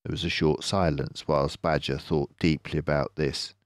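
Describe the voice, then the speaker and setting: An older man narrating a children's story aloud as a storyteller, in a measured, expressive manner. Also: very deep voice, no room sound, clean studio sound.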